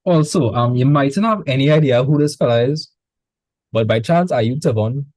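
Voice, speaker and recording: A man with a Trinidadian accent tutoring a student in math over a video call, relaxed and chatty. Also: mid-range voice, moderate pace, no room sound. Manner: relaxed, chatty, repeats words when starting. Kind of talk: casual online tutoring session.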